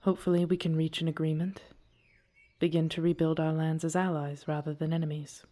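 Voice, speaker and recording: A woman's voice: low-pitched, flat delivery, a little fast, mellow, lightly acted. A woman playing an earnest, devoted dragon princess and commander in an audio drama, speaking in solemn, formal phrases. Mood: relieved, hushed. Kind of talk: scripted audio roleplay drama.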